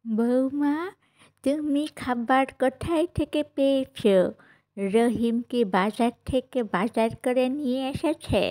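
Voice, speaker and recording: A woman's voice: sits high, slow pace, a little mellow. A woman playing a stern older village mother in a cartoon, questioning and scolding. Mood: questioning, displeased. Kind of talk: cartoon voice acting.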